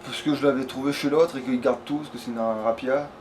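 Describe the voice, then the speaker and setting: A man's voice: mid-range voice, fairly even intonation, a little fast, darkish tone. A man giving casual let's play commentary, thinking aloud in slangy, crude language. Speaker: let's player. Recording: faint background noise.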